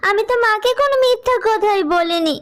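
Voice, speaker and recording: A woman's voice: very high voice, fairly quick, fairly bright tone. A woman voicing a sweet, childlike village girl in a cartoon, whiny and eager, with a pleading manner. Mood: tearful. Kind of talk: cartoon voice acting.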